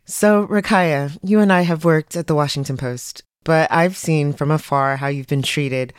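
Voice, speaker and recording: A woman's voice: low-pitched, moderate pace, clear, resonant tone, no room sound, studio quality. A woman guest-hosting an interview, asking conversational questions and setting up context for her guest. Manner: conversational, affirming, leads with context. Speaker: guest host.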